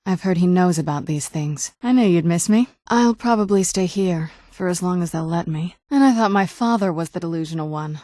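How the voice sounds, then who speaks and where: A woman's voice: on the low side, a little fast, hardly any pauses, warm tone, dry recording, close to an everyday voice. Actress doing a young lady vampire sidekick for a video game, kinda wry and guarded, dry studio sound. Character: young adult female vampire companion, wry, guarded, daughter of a villain. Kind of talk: video game character voice acting.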